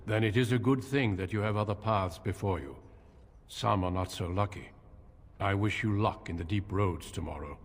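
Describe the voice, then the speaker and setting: An actor playing a courteous, grave veteran warrior in a video game, speaking in a formal, measured way. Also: male voice, fairly low pitch. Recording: reverberant hall, noisy game ambience.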